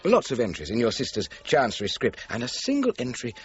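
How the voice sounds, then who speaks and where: An actor with a British accent playing a shrewd, probing chief inspector in a radio crime drama, questioning in a pointed, methodical way. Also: male voice, medium pitch, wildly swooping pitch, brisk, dull audio, faint music behind.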